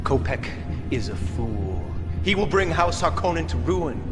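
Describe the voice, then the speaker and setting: A scheming, sadistic noble villain for a game cutscene. The voice sits fairly high and swings widely, gloating and menacing, with loud music underneath. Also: male voice, big pitch swings, unhurried. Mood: smooth scheming. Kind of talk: video game cutscene acting.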